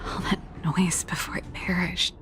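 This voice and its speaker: An actress playing a world-weary, laid-back woman in a video game, casual and understated with quiet resolve. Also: a little slow, whispered, natural voice. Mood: weary, resolute. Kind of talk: video game character dialogue. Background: game music.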